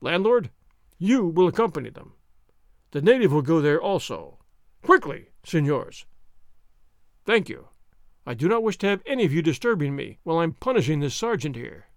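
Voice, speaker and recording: A cool, self-assured masked swordsman, as a man voices him in a storybook reading. He speaks in calm, commanding tones with a mocking edge and a lively, rising-and-falling delivery. The voice is dry and close. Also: fairly high pitch, moderate pace, long pauses, no room sound. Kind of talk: audiobook character reading.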